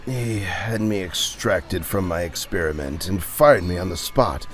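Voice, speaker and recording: Man acting a dejected scientist with restless, swinging pitch. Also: lively intonation, unhurried, fairly bright tone. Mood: bitter. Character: dejected, self-blaming male research scientist confessing to a friend.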